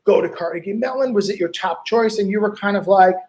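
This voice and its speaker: A man's voice: high-pitched, quick pace, a little mellow. A man hosting an interview, chatty and teasing, telling stories about himself between questions. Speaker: host. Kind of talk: casual interview chat.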